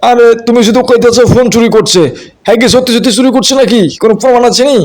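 A man's voice: extremely high pitch, fairly even intonation, rapid-fire. A man with a colloquial Dhaka accent voicing a brash young student sidekick in a comedy cartoon, blunt and scolding in street talk. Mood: doubtful, protesting. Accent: Dhaka colloquial Bangladeshi.

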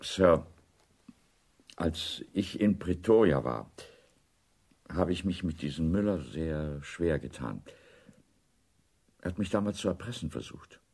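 An actor playing a reserved middle-aged intelligence officer in a German radio drama, restrained, courteous and guarded. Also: male voice, sits low, animated, slow, vocal fry, pauses often, darkish tone, breathy edge, exaggerated voice. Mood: uneasy, polite.

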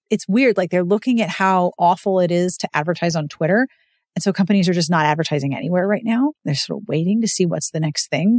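A woman's voice: mid-range voice, a little mellow, no echo, clean studio sound. An American woman hosting a podcast in casual, chatty conversation, often acting out little quoted asides. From United States. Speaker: podcast host.

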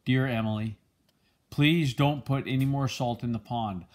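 An older man with a husky voice reads a children's picture book aloud in a gentle, measured storytelling manner. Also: medium pitch. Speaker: storybook reader, a grandfather.

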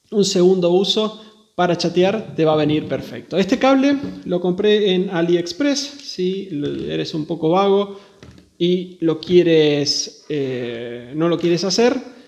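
Guy doing a laid-back gadget demo, kinda high voice, pretty gravelly, chats straight to viewers. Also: a little mellow, dull audio. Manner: informal, addresses viewers directly. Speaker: video host demonstrating radio gear. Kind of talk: casual hands-on tech demonstration.

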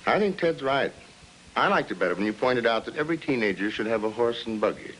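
A man's voice: medium pitch, lively intonation, fast, a bit bright, slight background noise, lightly acted, low-quality recording. An actor playing a genial, self-assured middle-aged American suburban father with jovial, theatrical pronouncements in a 1950s film style. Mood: jovial, coaxing. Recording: 1950s film soundtrack with music behind.

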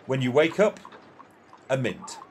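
A peevish, competitive older man acted by a male amateur in a radio play. His pitch moves animatedly as he argues and relishes with deliberate emphasis. There is faint noise in the recording. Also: sing-song. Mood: smug relish.

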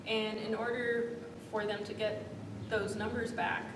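Woman, 30s, founder guest; thoughtful, halting delivery; distant, roomy office recording. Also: mid-range voice, level tone, noisy background. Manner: thoughtful, many fillers and restarts. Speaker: startup founder, interview guest. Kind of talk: onstage interview. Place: office meeting room, seated in armchair. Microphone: far room camera. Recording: echoey room audio from far off.

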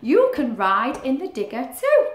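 A woman voicing excitable, friendly animals and children from a picture book, loud and singsong. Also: high-pitched, measured pace, heavily acted. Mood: cheerful, eager. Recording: roomy echo of a living room.

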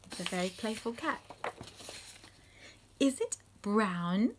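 A woman in her forties reading a picture book aloud to young children, putting simple questions to them in an animated way. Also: mid-range voice, slow, noisy background. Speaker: children's storytime host and reader. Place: small room at home, indoors.

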